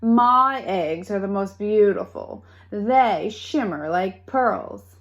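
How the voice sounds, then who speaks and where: A woman's voice: mid-range voice, lively intonation, slow pace, lo-fi sound. A woman voicing a proud, quarrelsome storybook mother hen with an emphatic, cooing and clucking manner. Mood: condescending, boastful.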